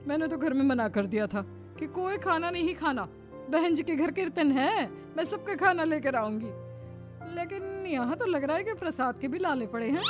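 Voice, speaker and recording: A greedy, sarcastic woman guest in a moral story, put on by a female narrator. Her whining complaint comes very high-pitched over clear background music. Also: expressive, normal speed. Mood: sarcastic, complaining. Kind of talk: acted storytelling.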